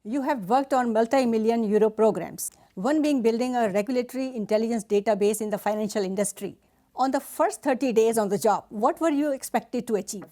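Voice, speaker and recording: A woman's voice: fairly high pitch, fairly quick, mellow. A woman hosting a talk show, formally introducing her guest and reading out questions.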